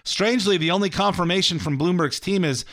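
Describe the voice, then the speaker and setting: A middle-aged man hosts his own talk show, his voice slightly scratchy from a seasonal cold. He rambles casually and restarts sentences often, sitting a little high in pitch. The sound is dry and close, with no room around it. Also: fairly quick, fairly bright tone, dry recording. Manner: rambling, folksy, lots of fillers and restarts. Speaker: radio show host and mortgage lender. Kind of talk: casual political talk radio monologue.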